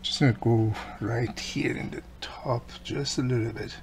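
A man's voice: medium pitch, steady tone, measured pace, a little hiss or hum. Patient man explaining a repair. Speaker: radio repair technician. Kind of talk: hands-on repair tutorial. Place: workbench, indoors.

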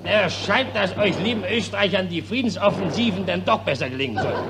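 An actor in a 1940s radio drama playing a condescending, clipped German officer with a non-Austrian German accent. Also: male voice, high voice, animated, a little fast. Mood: sardonic. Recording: old 1940s radio recording, crowd behind.

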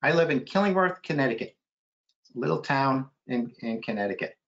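A man's voice: mid-range voice, expressive, fairly quick, fairly bright tone. A middle-aged American man chatting casually as a guest author, answering questions on a video call. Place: indoor room on a video call. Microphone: computer video call. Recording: dull, lo-fi video call audio.

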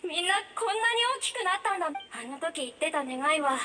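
A very high young boy's voice rings with echo and sounds set back. He is a spirited village child in a ghostly game memory, with music behind. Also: lively intonation, quick pace, sharp tone. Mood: wistful. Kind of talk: anime-style game dialogue. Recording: echoing hall reverb, distant sound.

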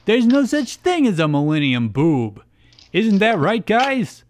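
A man's voice: high voice, very animated, a little slow, slight background noise, voice pushed up. A man voicing an earnest, bewildered teenage boy hero from a card-game cartoon. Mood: indignant.

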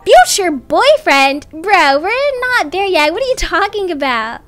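A woman's voice: extremely high pitch, sing-song, measured pace, fairly bright tone, exaggerated voice. A young woman voicing a shy, wary nerdy schoolgirl in a game story, hesitant and slangy. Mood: baffled, wary. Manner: hesitant, baffled teen slang.

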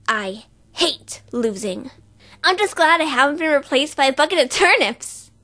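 A woman's voice: sits high, bright, forceful tone, full character voice. A woman voicing a brash, boastful tomboy pegasus pony girl in a fan voice reel.

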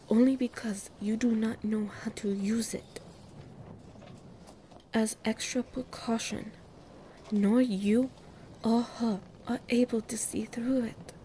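Woman acting a slow, solemn regal ruler. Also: on the high side, warm tone, a little hiss or hum. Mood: earnest warning. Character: grave regal mystical woman ruler pleading for her people. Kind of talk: audio roleplay acting.

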